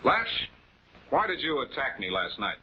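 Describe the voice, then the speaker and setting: An actor playing a composed, methodical male prosecutor, speaking with deliberate authority in a 1940s television crime drama. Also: medium pitch, huge pitch swings, slight background noise. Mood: firm questioning. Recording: dull 1940s film soundtrack.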